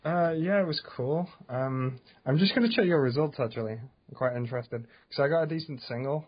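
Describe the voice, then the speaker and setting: A man's voice: a little high, brisk, breathy edge, muffled recording. A man in his twenties co-hosting a podcast, joking and laughing often in casual chat. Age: 20s. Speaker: podcast co-host.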